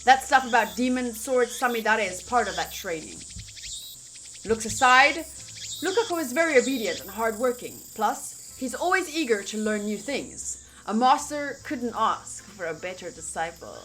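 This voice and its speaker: A woman's voice: fairly high pitch, animated, normal speed, a bit bright. A woman streamer reading a visual novel aloud and commenting on it, playfully reacting as she goes, with game music behind her. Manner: reacts playfully, acts out lines between reading.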